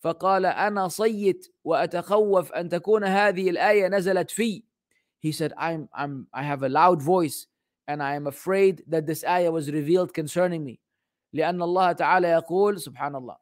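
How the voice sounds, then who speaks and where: A man giving an Islamic religious lesson as a teacher, moving between Arabic text and English translation. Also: fairly high pitch. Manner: halting English delivery, rapid fluent Arabic recitation.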